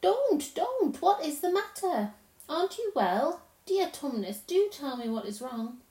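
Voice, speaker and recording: A woman's voice: sits high, expressive, a little slow, a little mellow, reverberant, voice pushed up. A woman voicing a kind, anxious little girl in a storybook, earnest, polite and pleading. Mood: distressed.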